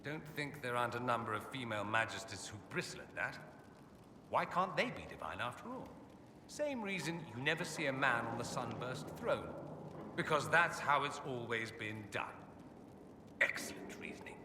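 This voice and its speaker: An actor playing a witty, haughty mage from a fantasy empire, speaking with suave, theatrically arch sarcasm in a video game. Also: male voice, mid-range voice, very animated, moderate pace, recorded from a distance, natural voice. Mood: sardonic, amused. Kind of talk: video game character dialogue. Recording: echoey hall, clear background ambience noise.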